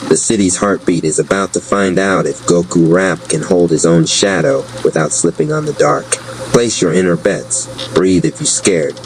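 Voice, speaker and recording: A man plays a cool male onlooker in a fantasy audio drama, savoring a fight. His voice is very deep, with a soft, theatrical way of announcing. The recording is clearly noisy. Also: expressive, normal speed. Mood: teasing. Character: watchful male rap spectator, coolly relishing the fight.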